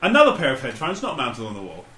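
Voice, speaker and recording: A man's voice: medium pitch, huge pitch swings, quick pace, a crowd in the background. A man co-hosting a podcast, chatting casually and comically. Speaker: podcast co-host. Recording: echoey room with faint noise.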